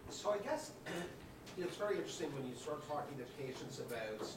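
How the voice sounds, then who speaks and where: A man's voice: fairly high pitch, big pitch swings, moderate pace, a little hiss or hum, rough recording. A man posing a long question to a medical panel, rambling and filling gaps with "you know" and "like.".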